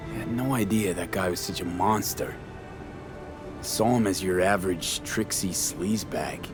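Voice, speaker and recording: Deep-voiced, steady male mercenary, played by a man. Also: moderate pace, over music, natural voice. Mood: sympathetic. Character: gruff, steady male mercenary consoling a friend. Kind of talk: video game acting.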